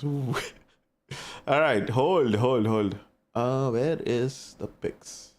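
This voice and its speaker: A man's voice: mid-range voice, extremely slow, darkish tone. A young man from India with an Indian accent, chatting casually with his live-stream viewers and reacting to their messages.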